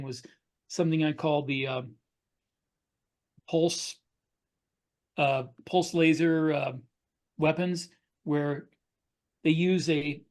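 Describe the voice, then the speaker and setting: Higher-voiced man explaining in pieces. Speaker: science fiction author on a panel podcast. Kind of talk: casual panel discussion.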